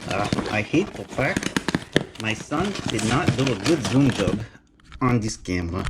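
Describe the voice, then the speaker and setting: A man's voice: lowish voice, big pitch swings, unhurried, very noisy. A middle-aged man from Romania with a Romanian accent, chatting enthusiastically to viewers as he unboxes a knife. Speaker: knife collector vlogger. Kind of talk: casual unboxing vlog.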